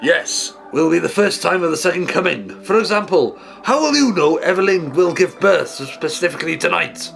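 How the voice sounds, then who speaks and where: A man's voice: a little high, animated, normal speed, gravelly, hardly any pauses, a bit bright, faint music behind. An actor playing a polite, earnest and anxious Welsh father in a radio play. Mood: protesting. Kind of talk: period radio play acting.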